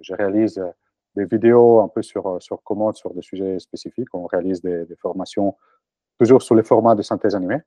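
A man's voice: lowish voice, steady tone, fairly quick, warm tone, no echo. A man in his forties from Italy, a native Italian speaker, answering interview questions with many fillers and restarts. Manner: hesitant, frequent fillers and restarts. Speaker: interview guest, entrepreneur.

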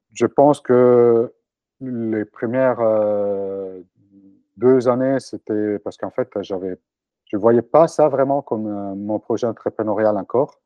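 A man's voice: lowish voice, no echo. Italian man in his forties, entrepreneur guest; dry, slightly dull recording. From Italy. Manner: hesitant, frequent fillers and restarts. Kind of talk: casual interview answers.

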